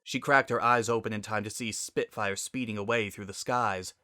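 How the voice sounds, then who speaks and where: A man's voice: lowish voice, steady tone, normal speed, pushed and bright, dry recording, studio quality. A man reading a story aloud as narrator in a dramatic style.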